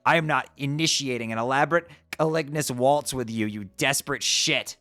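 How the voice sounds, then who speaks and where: A man voicing an abrasive teenage troll boy who yells insults and swears constantly. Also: medium pitch, fairly quick, no echo, clean studio sound, faint music behind, natural voice. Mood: angry shouting. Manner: yelling, profane, insulting. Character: furious shouting teen troll boy, abrasive. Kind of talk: fan dub of a chat comic.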